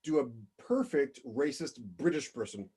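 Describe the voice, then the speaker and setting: A man co-hosting a casual podcast chat, his pitch rising and falling freely as he talks. He works through his thoughts aloud with plenty of fillers. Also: moderate pace, slight background noise. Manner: conversational, hedging with fillers, expressive. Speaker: podcast co-host.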